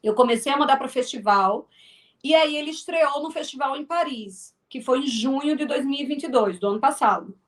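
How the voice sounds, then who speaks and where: A woman's voice: high-pitched, fairly quick. A woman from Northeast Brazil with a strong Northeastern accent, a filmmaker and actress chatting as an interview guest, with many restarts. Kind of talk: casual interview talk.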